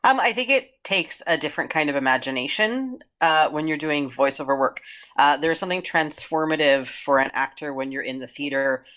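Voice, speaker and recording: Low-voiced woman, thoughtful interview guest. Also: a little fast, fairly bright tone. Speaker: guest, actor. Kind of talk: reflective interview conversation.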